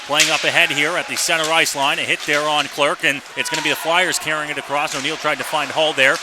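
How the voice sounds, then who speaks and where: Higher-pitched man calling hockey, noisy arena. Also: flat delivery, fast. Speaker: hockey play-by-play announcer. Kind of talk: live radio sports commentary. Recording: noisy live arena radio broadcast.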